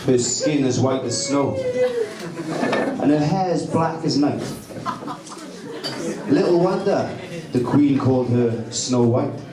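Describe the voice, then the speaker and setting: A man's voice: fairly high pitch, huge pitch swings, slow, long pauses. A man narrating a scripted fairy tale live on stage as a pantomime narrator in a club hall. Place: large indoor club hall with noisy audience. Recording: echoey hall, loud crowd, distant mic.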